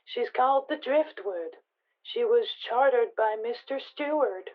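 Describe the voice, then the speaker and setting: A muffled telephone voice of a laconic customs officer , acted by a woman. The delivery is very slow, terse and matter-of-fact, in vintage radio-play style. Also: fairly high pitch, animated, faint music behind. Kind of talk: 1940s detective radio play acting.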